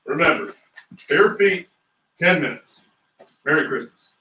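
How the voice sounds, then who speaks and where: A man's voice: a little high, lively intonation, a little slow, bright, forceful tone. A man playing a confident, chatty veteran salesman in a script reading. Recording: echoey room, distant mic.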